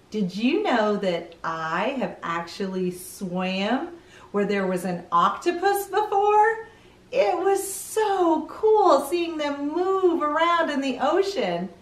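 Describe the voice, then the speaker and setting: A middle-aged woman presenting to young children in an animated way, often asking simple questions. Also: sits high, roomy sound, recorded from a distance. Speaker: children's read-aloud show host.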